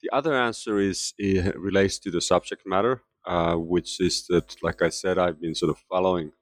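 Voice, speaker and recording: Man, middle-aged, from Finland, deep voice, dry sound, hesitant academic talk. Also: steady tone, normal speed, no echo. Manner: hesitant, many fillers and restarts. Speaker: guest author, history professor. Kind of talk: academic interview answers.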